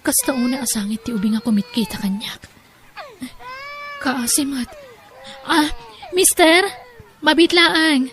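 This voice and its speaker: A woman with an Ilocano accent playing a kind, soft-hearted young woman in an audio drama, speaking gently and expressively. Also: high-pitched, unhurried, long pauses. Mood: concerned, tender.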